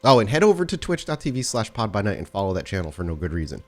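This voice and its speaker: Low-voiced man hosting a story game. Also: big pitch swings, rapid-fire. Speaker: storyteller and host. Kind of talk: tabletop game narration and casual podcast chat.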